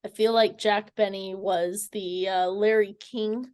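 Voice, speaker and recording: A woman interviewing a guest in a casual, conversational way, tentative and halting as she forms her thoughts. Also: mid-range voice, little pitch movement, a little mellow.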